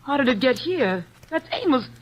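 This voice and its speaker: An actress playing a nervous young stage actress in a 1940s radio drama, speaking in a tense, defensive way. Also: female voice, sits high, sing-song, moderate pace, slight background noise, rough recording. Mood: agitated.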